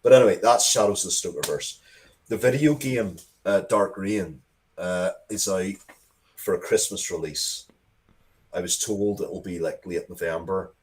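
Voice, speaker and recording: Guy with a low voice, casual interview chat, kinda rambly, keeps restarting what he's saying. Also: normal speed, darkish tone, rough recording. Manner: rambling, self-interrupting, restarts sentences often. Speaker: interview guest, comics and game writer.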